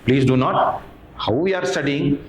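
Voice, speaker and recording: A man's voice: medium pitch, measured pace. A man with an Indian English accent giving an explanatory history lecture to exam candidates, repeating key terms and posing rhetorical questions. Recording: echoey room with faint background noise.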